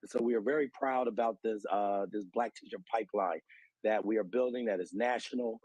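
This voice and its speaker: A man's voice: lowish voice, fairly quick, darkish tone, no room sound, poor audio. An American man giving earnest, articulate explanations as an interview guest, citing research and figures like an advocate.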